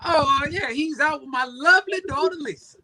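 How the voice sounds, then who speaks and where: A man voicing an excitable, fawning middle-aged male restaurant owner in a comedy table read. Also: high voice, brisk, a little hiss or hum, voice pushed up, full character voice. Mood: astonished, fawning.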